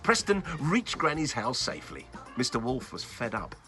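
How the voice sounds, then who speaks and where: A man hosting and reading a children's bedtime story in a playful, expressive, child-directed way. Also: mid-range voice, normal speed, faint music behind. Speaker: children's story reader and host.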